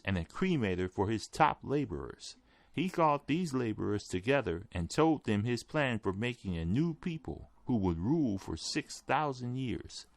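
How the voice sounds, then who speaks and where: A man's voice: mid-range voice, vocal fry, no echo. A man reading a written article aloud as scripted narration. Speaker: article reader.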